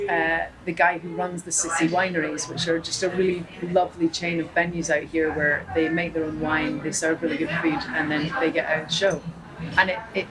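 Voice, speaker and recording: Scottish woman musician, animated and laughing, with a noisy crowd behind. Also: on the low side. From Scotland (St Andrews). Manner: chatty and animated, quick to laugh. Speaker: musician guest. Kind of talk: casual chat. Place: busy public spot in Los Angeles. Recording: loud crowd chatter behind.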